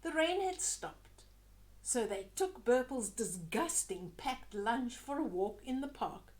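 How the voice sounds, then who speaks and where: A woman's voice: fairly high pitch, animated, slow. An older woman reading a children's story aloud, expressively, with chatty asides to young listeners.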